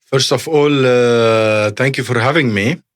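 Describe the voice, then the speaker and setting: A man's voice: medium pitch, unhurried. A man in his thirties from Lebanon, a podcast guest in real estate sales, talking casually with repetitive, emphatic phrasing in English.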